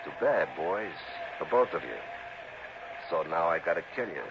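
A ruthless radio-drama gunman who taunts and threatens in rough slang. His voice sits low, over a dull, noisy old broadcast recording. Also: big pitch swings, moderate pace. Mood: menacing, gloating. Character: ruthless gun-toting male villain, menacing and taunting. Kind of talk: radio crime drama acting.

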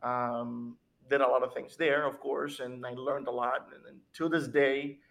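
A man from Cuba whose first language is Spanish, co-hosting a podcast and telling his story casually, halting and repeating words. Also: mid-range voice, little pitch movement, gravelly. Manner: halting, repeats words, chuckles now and then.

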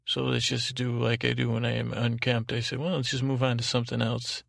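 Gravelly, rambling male podcast host. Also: medium pitch, flat delivery, a bit bright, no echo, studio quality. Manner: meandering, halting, repeats himself. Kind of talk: rambling, tangential solo podcast talk.

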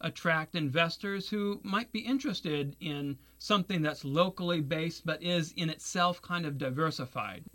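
A man interviewed as a guest on a radio show, explaining his work in an earnest, measured way with small restarts. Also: fairly high pitch, sharp tone. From Taiwan. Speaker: guest, securities lawyer.

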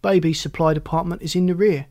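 A narrator voicing a brisk, polite store clerk in a storybook reading. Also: mid-range voice, fairly even intonation, dark tone, close to an everyday voice. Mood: brisk, helpful.

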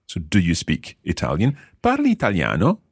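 A man with a low, slightly husky voice leads a language lesson. He talks with a lively rise and fall, repeating phrases slowly so they sink in. The sound is dry and close. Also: no room sound. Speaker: language teacher and host. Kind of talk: explaining and quizzing co-hosts.

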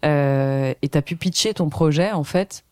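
A man's voice: a little high, unhurried, a little mellow, clear, resonant tone, no room sound, clean studio sound. A man hosting an interview, attentive and encouraging, often murmuring agreement. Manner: attentive, frequent backchannel murmurs. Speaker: interviewer, podcast host. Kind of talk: casual interview.

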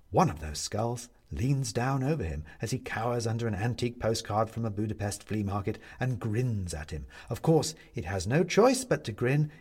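Husky-voiced man narrating an audiobook. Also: mid-range voice. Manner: wry, expressive reading. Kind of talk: scripted storybook narration.

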